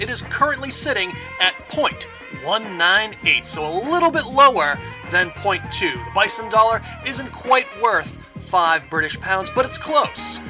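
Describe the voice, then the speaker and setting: A pompous executive in a villain's regime, acted by a man, pitched high with big theatrical swoops. He sells propaganda with gleeful bombast in a comic sponsor announcement, with music playing behind him.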